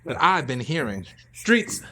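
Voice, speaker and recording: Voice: mid-range voice, sing-song, measured pace, pushed and bright. A live radio show host with a theatrical, folksy way of talking, upbeat and addressing the audience.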